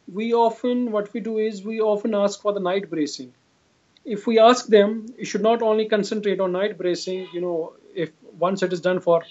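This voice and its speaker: A man with an Indian accent speaks in a notably high voice, giving explanatory answers as an interviewed clinician. He tends to repeat his phrases. The sound is slightly muffled and lo-fi, as over a video call. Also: a little mellow. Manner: repetitive, often says "you know". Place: indoor room, video call. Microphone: video call device at arm's length. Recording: dull, lo-fi video call audio.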